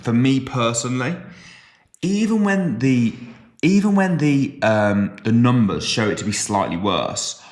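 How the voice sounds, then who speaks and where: A man from the West Midlands co-hosting a podcast in casual chat, animated and teasing, with sudden jumps up in pitch when he stresses a point. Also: a little slow. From West Midlands, England. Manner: teasing, joking banter. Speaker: podcast co-host.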